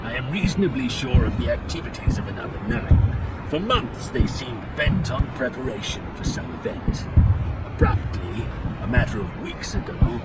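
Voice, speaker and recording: Man playing severe zealot inquisitor, game cutscene, formal, deliberate, pompous delivery, set back from the mic under loud music. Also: medium pitch, lively intonation, natural voice. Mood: grave, measured. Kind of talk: dramatic science-fiction game cutscene acting. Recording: loud music behind, distant voice.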